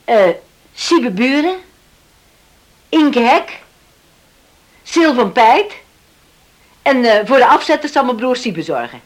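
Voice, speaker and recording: A high-pitched, earnest mother in a radio play. She pleads stubbornly, hesitating at times, determined to get a rescue crew out for her son. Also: big pitch swings, slow pace, pauses often, heavily acted. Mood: businesslike. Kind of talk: Dutch radio drama acting.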